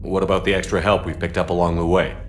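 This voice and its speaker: A man playing a calm, dry, resolute military commander in video game cutscene dialogue. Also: deep voice, quick pace, close to an everyday voice. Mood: earnest, questioning.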